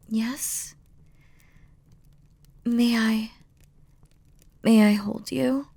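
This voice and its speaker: Woman playing a slow, breathy, tender demon queen. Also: on the high side, exaggerated voice. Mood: soft, affectionate. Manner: soft, breathy, often dropping to a whisper, formal wording. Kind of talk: scripted romantic audio roleplay, spoken intimately to the listener.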